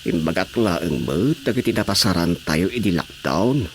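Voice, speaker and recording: Troubled husband, swooping expressive intonation, reassuring radio-drama dialogue, faint noise behind. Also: male voice, low-pitched, normal speed. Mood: explaining. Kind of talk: Ilocano radio drama acting. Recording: faint background noise with insects.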